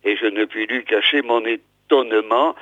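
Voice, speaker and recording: Hoarse male radio host, tinny sound. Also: fairly low pitch, moderate pace, no room sound. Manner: hesitant, many fillers and restarts. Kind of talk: radio talk reading old press articles.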